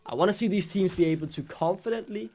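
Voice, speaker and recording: A man's voice: high-pitched, quick pace, warm tone. A man whose first language is Danish, casting a live esports match in chatty commentary and quizzing his co-caster.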